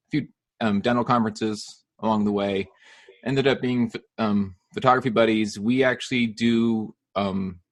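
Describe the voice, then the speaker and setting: A middle-aged man hosting a casual interview podcast, upbeat and quick with his questions, laughing easily. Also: lowish voice, a bit bright. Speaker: podcast host.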